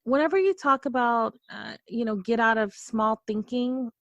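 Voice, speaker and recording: A woman's voice: on the high side, normal speed, no room sound, dull audio. Eager woman host, dry close sound. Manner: enthusiastic, affirming questions. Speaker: podcast host. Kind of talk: interviewing a guest.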